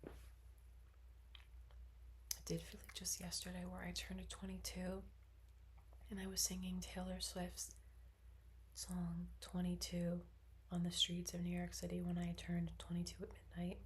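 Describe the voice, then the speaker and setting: A woman in her twenties whispering in a soft, rambling ASMR monologue, full of fillers. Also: fairly low pitch, completely flat delivery, measured pace, long pauses. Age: 20s. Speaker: ASMR creator. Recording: faint background noise.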